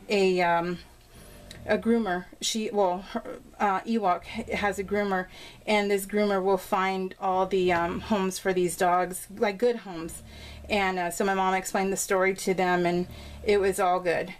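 A woman's voice: medium pitch, poor audio. A woman chatting casually and informally as she narrates a craft video, telling stories in a rambling way. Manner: rambling, informal storytelling with exclamations. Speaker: craft vlogger showing a scrapbook layout. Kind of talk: casual, chatty real-time craft voice-over. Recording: clear background noise.

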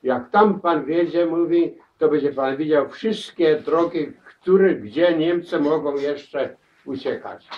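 Elderly man telling stories, halting. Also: a little high, animated. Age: older. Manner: halting, many restarts and repeated words. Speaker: war veteran interviewee. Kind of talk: spoken war memories. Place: crowded living room, indoors. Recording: distant, dull camera audio.

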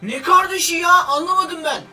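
A squeaky little cartoon sidekick, fretful and full of questions. The pitch is extremely high. The voice sounds distant, with clear music under it. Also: moderate pace, pushed and bright, full character voice. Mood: worried, baffled. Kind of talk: cartoon parody character dub.

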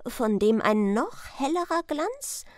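A woman's voice: sits high, sing-song, takes it slowly, dry recording, clean studio sound, heavily acted. Woman voicing a solemn old male storybook stork. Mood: solemn wonder. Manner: interjects "äh", proclaims solemnly. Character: wise old male stork, earnest and weary. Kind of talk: storybook character voice.

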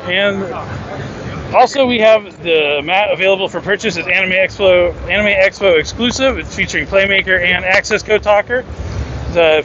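A young man giving an upbeat walking tour of a convention booth, talking into a handheld mic with many fillers. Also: high-pitched. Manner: upbeat and promotional, frequent fillers while walking. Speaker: booth guide for a card game company. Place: busy convention hall indoors. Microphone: handheld mic with windscreen. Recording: dull audio with loud crowd noise.

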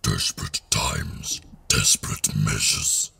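Extremely low growl, very raspy, male actor as hulking rhino alien cop, blunt, merciless, clipped orders. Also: fairly even intonation, slow, bright, forceful tone, exaggerated voice. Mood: menacing. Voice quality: gravelly, rasping. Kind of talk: fan audio drama acting.

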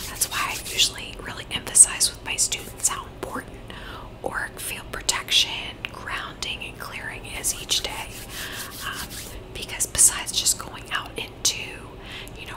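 A woman whispering softly and reassuringly as a Reiki practitioner, in an ASMR session. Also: poor audio. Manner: soft whispering, gentle and reassuring. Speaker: Reiki practitioner and psychic medium. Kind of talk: whispered ASMR energy-healing talk. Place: Virginia, USA.

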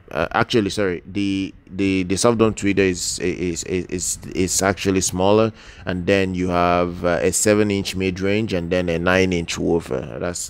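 A man's voice: deep voice, clear, resonant tone. A man presenting product news casually as a channel host, speaking hesitantly and often repeating words and phrases.

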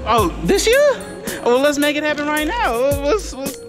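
A man's voice: very high voice, very animated, unhurried. A young man interviewing strangers in a large clothing store with a handheld mic, joking and teasing as he talks. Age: young adult. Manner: joking, teasing, laughs a lot. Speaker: street interviewer. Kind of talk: playful prank interview. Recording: loud music behind.

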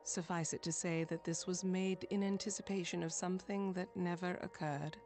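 An actress playing a solemn armored female guide in a fantasy video game, speaking formally and gravely. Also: lowish voice, steady tone, moderate pace, music in the background, close to an everyday voice. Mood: grave, cautioning.

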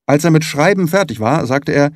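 A man's voice: fairly high pitch, animated, brisk, no echo, lightly acted. Man reading dutiful hotel porter, dry sound. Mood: deferential, matter-of-fact. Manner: brief, respectful answers. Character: deferential male hotel day porter, plain and dutiful. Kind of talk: audiobook character dialogue.

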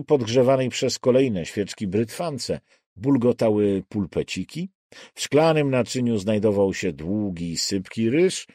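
A man's voice: lowish voice, mellow, no echo, clean studio sound. A man narrating a thriller audiobook in a scripted, dramatic style.

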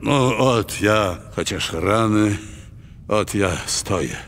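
An actor playing a proud, dying grey-haired major in a radio drama, pleading in halting, broken phrases. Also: male voice, on the low side, a bit bright. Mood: strained, resolute. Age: older. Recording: music behind the voice.

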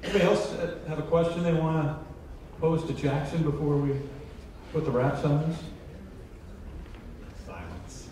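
A man's voice: a little high, moderate pace, pauses often, heavy background noise. A middle-aged man hosting a casual live interview on stage with a handheld microphone. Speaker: interviewer, radio play-by-play announcer. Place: indoor stage in an auditorium. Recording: echoey hall PA, distant, audience behind.

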